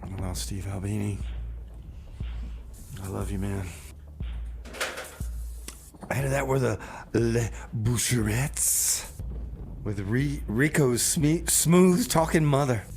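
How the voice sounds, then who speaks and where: A man's voice: medium pitch, takes it slowly, pauses often, darkish tone, a little hiss or hum. A man hosting a community radio show with casual, comic, rambling banter and folksy song announcements.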